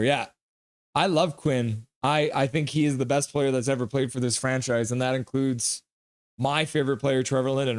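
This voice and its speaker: A man hosting a live hockey stream, reading chat comments aloud with loose jokes and breaking into quick play-by-play. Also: medium pitch, a little mellow.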